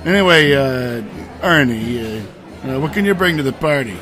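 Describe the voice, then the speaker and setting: An actor playing a blunt, sarcastic barbarian adventurer with a casual manner in a comic fantasy audio drama. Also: male voice, mid-range voice, expressive, normal speed, crowd noise, natural voice. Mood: sarcastic, impatient. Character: gruff but civilized, wisecracking male barbarian adventurer. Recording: music playing behind the voice.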